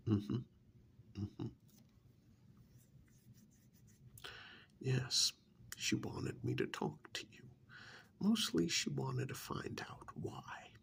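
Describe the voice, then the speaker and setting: A man playing a chatty, gently scolding vampire envoy in a soft-spoken ASMR role-play, with a breathy whisper and deliberate pauses. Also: lowish voice, heavily acted. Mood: gently reproachful. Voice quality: breathy whisper, gravelly fry. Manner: slow, deliberate, drawn-out pauses, conversational.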